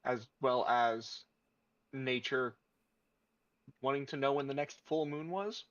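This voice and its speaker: The measured party member on an online game call, a man on a muffled lo-fi mic, pausing as he works out his move. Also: medium pitch, a bit bright. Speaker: tabletop game player. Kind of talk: casual tabletop roleplay. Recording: dull, lo-fi microphone.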